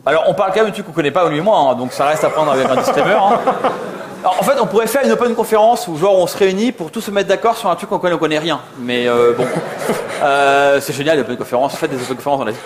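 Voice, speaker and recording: Male conference speaker, rapid and a little high-pitched, chatty and slangy while fielding questions. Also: background noise. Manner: casual, slangy, self-deprecating. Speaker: conference speaker, software developer. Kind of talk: informal conference Q&A.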